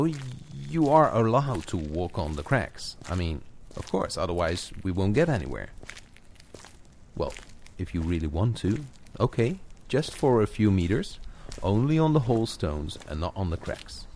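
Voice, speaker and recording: A man with a low-pitched voice speaks as a coach guiding a single listener. His delivery is conversational and encouraging, with playful asides. Faint background noise sits behind the voice. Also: very animated, normal speed, a little mellow. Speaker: coach guiding a walking audio session. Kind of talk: guided coaching talk, conversational, addressed to one listener. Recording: clear background noise of outdoor walk effects. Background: added walking footsteps, garden birds, ambience.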